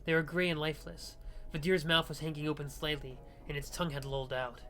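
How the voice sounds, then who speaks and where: Let's player, casual, expressive, visual novel narration and commentary, music underneath. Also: mid-range voice, quick pace. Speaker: game streamer or let's player.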